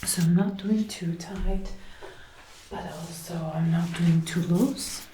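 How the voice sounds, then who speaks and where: A woman doing a soft-spoken ASMR roleplay, often whispering, gently and methodically narrating each step close to a binaural microphone. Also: fairly low pitch, steady tone, slow. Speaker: ASMR creator. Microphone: close binaural microphone, ear to ear. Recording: roomy close-up binaural, some noise.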